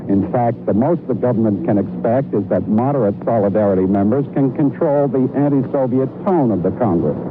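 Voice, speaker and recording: A man delivering a scripted radio report as a foreign correspondent. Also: medium pitch. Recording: noisy, thin phone-line radio report.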